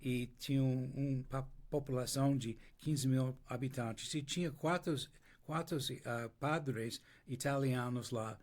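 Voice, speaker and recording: An older man whose first language is English, speaking Portuguese with an American accent in an interview, telling his story slowly with many restarts and pauses while he searches for words. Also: medium pitch, steady tone, a little mellow. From United States. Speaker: interview guest.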